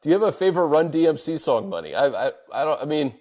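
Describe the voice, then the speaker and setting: Casting: male sports show host, slightly high voice, informal chat, fielding listener questions. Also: very animated, fast talker, darkish tone. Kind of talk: casual chat, reading out fan questions.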